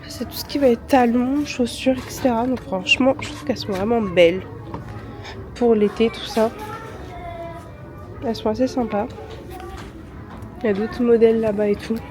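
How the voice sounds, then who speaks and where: A young woman with a head cold chatting casually to her phone camera for a vlog, upbeat and full of slang. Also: a little high. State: congested with a cold. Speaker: vlogger. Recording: music playing under the voice.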